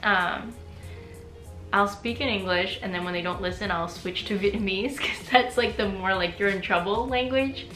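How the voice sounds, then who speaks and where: Young adult woman, chatty vlogger, bright tense voice, roomy echo, faint music underneath. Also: medium pitch, normal speed. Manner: chatty, frequent "like" fillers, laughs often. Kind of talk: casual personal vlog.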